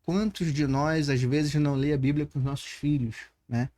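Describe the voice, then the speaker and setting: A man's voice: fairly high pitch, fairly even intonation, normal speed, a little mellow. A man with a Brazilian Portuguese accent hosting a conversational podcast interview, reflective and building long questions through examples. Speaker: podcast host.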